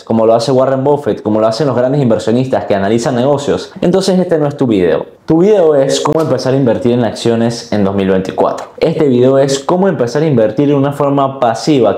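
A young man talks like an online host teaching beginners. His voice is soft-edged and mellow at an average pitch. He explains step by step in a conversational, direct way, with noticeable room echo around him. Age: 20s. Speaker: finance video host. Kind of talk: explanatory talk to camera for beginners. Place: bright high-rise room with large window. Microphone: lapel mic.

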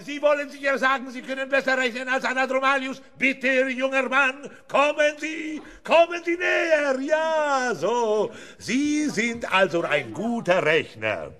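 An excitable circus announcer in a kids radio play, super high, swoops around, pompous showman patter. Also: a little slow, few pauses, full character voice. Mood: teasing showman. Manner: grandiose, theatrical showman's patter. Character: excitable male circus ringmaster announcing grand attractions. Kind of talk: 1970s German children's radio play acting.

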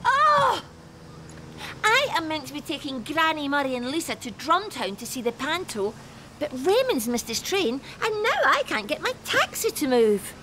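A middle-aged woman playing a scatty, affectionate taxi driver in a children's TV show. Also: high voice. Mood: flustered. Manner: exclamatory, expressive. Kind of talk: children's TV acting.

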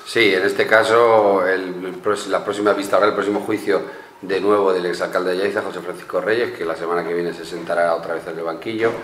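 A man, a journalist summing up news stories in a small studio, measured with small restarts. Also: low voice, fairly even intonation, a bit bright. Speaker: newspaper journalist. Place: small studio with blue backdrop. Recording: echoey studio room, faint hum.

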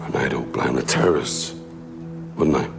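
An actor playing a middle-aged British special forces captain, terse, dry and resolute, in dramatic game dialogue. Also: male voice, extremely low pitch, moderate pace, creaky, loud music behind the voice, heavily acted. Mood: measured, conspiratorial. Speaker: actor in a military game cutscene.

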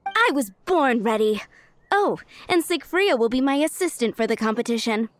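A woman's voice: a little high, expressive, normal speed, no room sound, lightly acted. Woman voicing a confident rival cook, dry recording. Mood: self-assured. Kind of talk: video game voice acting.

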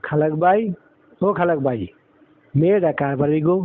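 On a thin phone-like track, a man plays a young cartoon villager. His voice is on the high side with a rural Bangladeshi accent, casual and full of curiosity. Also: moderate pace, close to an everyday voice. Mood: curious, smitten. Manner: inquisitive. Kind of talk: cartoon dialogue.

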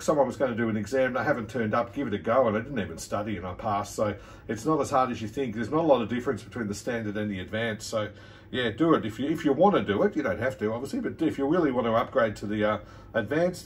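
A man's voice: medium pitch, fast, distant mic, a little hiss or hum. An Australian man chatting casually and encouragingly as an amateur radio operator, recorded indoors on a phone camera. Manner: friendly, encouraging. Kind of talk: casual ham radio chat. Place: indoor room at radio desk.

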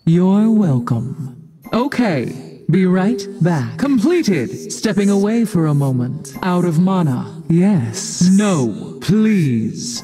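Game role: cold chaos goddess, solemn and commanding, unhurried delivery, lively intonation. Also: female voice, lowish voice. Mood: cold, imperious. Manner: solemn, commanding, detached, formal. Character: imperious otherworldly chaos goddess, cold and abstract. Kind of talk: video game character voice lines.

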